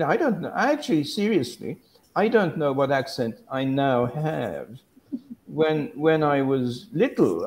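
A man's voice: mid-range voice, darkish tone, slight background noise. Older male academic, rambling and self-correcting, casual talk over a video call from a home study. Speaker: guest academic.

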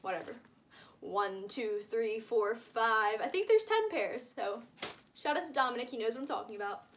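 A woman's voice: on the high side, animated, moderate pace, a little hiss or hum. A nineteen-year-old woman chatting casually in a shopping haul vlog, rambling and gushing with lots of filler "like" and exaggeration. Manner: rambling, gushing, uses frequent "like" and hyperbole. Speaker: vlogger showing shopping haul. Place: indoors, small room with curtain backdrop.

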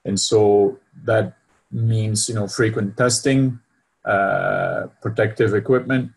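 A man's voice: on the low side, steady tone, takes it slowly, slightly rough. A middle-aged man from Belgium with a French accent, giving an online lecture over a video call from home, hesitant with many fillers and a habit of asking "right?". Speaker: university department head presenting. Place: home room with bookshelves. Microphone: laptop webcam video call.

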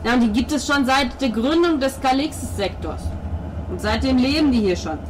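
A woman's voice: high-pitched, expressive, moderate pace, noisy background. A woman voicing a devout, pompous male priest in tabletop roleplay, explaining at length with hesitations and pious flourishes. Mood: patient explaining.

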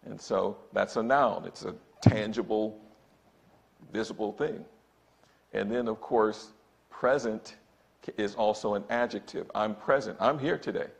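A man's voice: lowish voice, measured pace, gravelly. Man in his sixties preaching a sermon. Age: 60s. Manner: emphatic preaching with repeated "brothers and sisters", gesturing at a pulpit. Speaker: church elder preaching. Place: church sanctuary, indoors. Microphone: pulpit microphone, far camera.

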